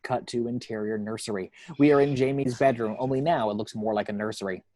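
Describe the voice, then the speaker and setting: A man's voice: mid-range voice, steady tone, a little fast, darkish tone. A man reading scripted action descriptions from a screenplay as narrator. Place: at home.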